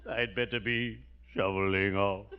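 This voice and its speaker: An actor playing a gloomily jovial, genteel undertaker with a formal, drawn-out delivery in 1940s radio comedy style. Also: male voice, on the low side, fairly even intonation, loud music behind the voice. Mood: macabre cheer. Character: genteel, gloomily jovial small-town undertaker, a family friend. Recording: dull, noisy vintage 1940s radio recording.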